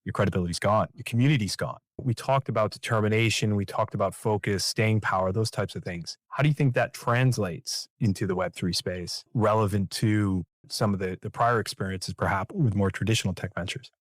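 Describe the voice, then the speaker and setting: Man hosting, lowish voice. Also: brisk, hardly any pauses, no room sound. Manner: affirming, builds long framing questions. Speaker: podcast host. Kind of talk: fireside chat interview.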